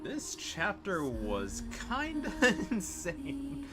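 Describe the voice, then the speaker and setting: Woman streamer, casual reactions, very slow pace, big pitch swings, loud game music. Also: huge pitch swings.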